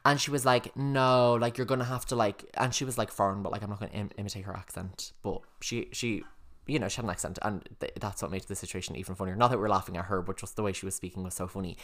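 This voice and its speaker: Fast-talking young Irish man. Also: fairly low pitch, fairly even intonation, a bit bright. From Ireland. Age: young adult. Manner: chatty, swears a lot, lots of "like". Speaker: podcast co-host. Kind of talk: casual storytelling chat.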